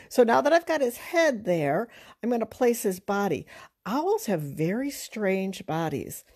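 A middle-aged woman giving an informal drawing lesson, thinking aloud in a halting, unhurried, conversational way as she works. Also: fairly low pitch, darkish tone. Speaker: art teacher. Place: indoors at a drawing table.

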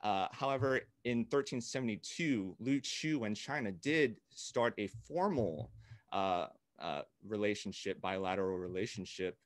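A young man from Okinawa and Hawaii, a guest in an interview, speaking in a measured, deliberate way with frequent pauses and fillers. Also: on the low side, no echo. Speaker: guest, peace activist.

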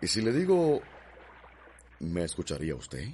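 Eager, flirtatious colonial suitor, radio drama; very low, lively-pitched voice. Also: male voice, unhurried, slight background noise, full character voice. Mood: eager, courting. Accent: Cuban.

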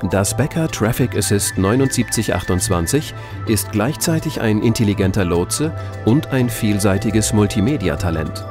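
A man's voice: fairly low pitch, animated, unhurried. A man delivering scripted promotional narration as a voice-over. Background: music.

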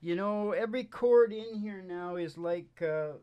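A middle-aged man hosting a music show with playful, unhurried, rambling stage patter full of joking asides. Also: high voice, clean tone, no room sound, lo-fi sound. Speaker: host of a music and comedy show. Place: small dim curtained stage room. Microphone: stand microphone. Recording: guitar playing behind the voice. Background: acoustic guitar.

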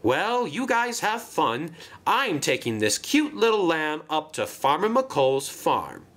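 Male narrator as female storybook engine, high swooping pitch, excitable, chatty, naive, gushing. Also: slow, heavily acted. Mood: cheerful. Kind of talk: children's train story character acting.

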